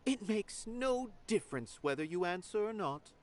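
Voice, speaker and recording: A lofty, musing theater observer. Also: male voice, high-pitched, very animated, moderate pace. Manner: lofty and condescending. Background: game music.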